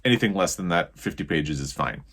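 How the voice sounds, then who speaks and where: Deep-voiced American man chatting casually. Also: lively intonation, a little fast, fairly bright tone. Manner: rambling, self-correcting. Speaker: book vlogger. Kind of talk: casual chat to camera about reading.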